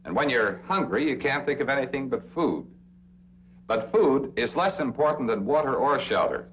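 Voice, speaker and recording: A man's voice: mid-range voice, animated, normal speed, fairly bright tone. An American man narrating a 1960s instructional film, speaking straight to the listener with emphatic phrasing and rhetorical questions. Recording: dull, noisy old film soundtrack with hum.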